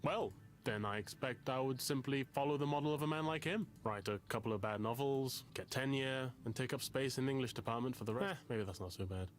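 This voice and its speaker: A man's voice: mid-range voice, a little fast, few pauses, slight background noise, lightly acted. A man voicing a self-pitying, theatrical young literary type in counseling for a visual novel game, wordy and self-mocking. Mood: anguished, self-loathing.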